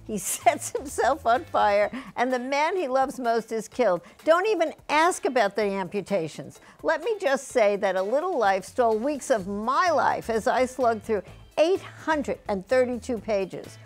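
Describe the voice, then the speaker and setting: A woman's voice: on the high side, big pitch swings, a little slow, faint music behind. A woman delivering a scripted book review in a blunt, wry manner.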